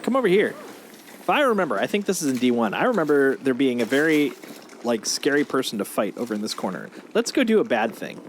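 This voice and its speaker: A man's voice: mid-range voice, sing-song, quick pace. A man giving casual gameplay commentary, thinking aloud and joking with a co-player. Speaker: game streamer. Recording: loud game and engine noise. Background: loud video game sound with vehicle noise.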